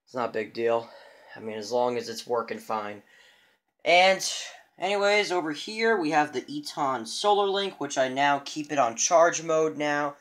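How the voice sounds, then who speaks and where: A man giving a casual show-and-tell as a vlogger, rambling and halting as he goes. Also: a little high, a bit bright. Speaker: vlogger showing a radio collection. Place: indoors in a room at home.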